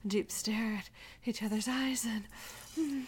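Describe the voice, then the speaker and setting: A young woman performing an intimate audio roleplay, often whispering, with a breathy, halting delivery that stammers and trails off. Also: fairly high pitch, a little hiss or hum.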